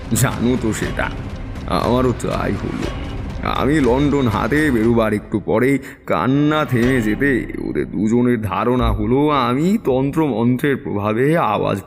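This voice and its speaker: Seasoned tantric storyteller, low creaky voice, measured, confiding, horror audio story. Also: male voice, long reverb tail. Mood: grave, suspenseful. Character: seasoned male tantric recounting his eerie past adventures. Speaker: narrator. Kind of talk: dramatic first-person horror storytelling.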